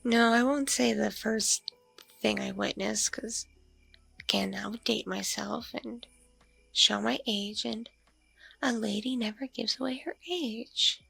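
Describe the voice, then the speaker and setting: Girl doing a vampire mom for asmr, husky n breathy, coy and lonely, talks real slow. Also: fairly high pitch, fairly even intonation, no room sound, faint music behind, full character voice, rough recording. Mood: musing, coy. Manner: slow, hesitant musing with soft hums. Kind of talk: ASMR audio roleplay, intimate one-sided conversation.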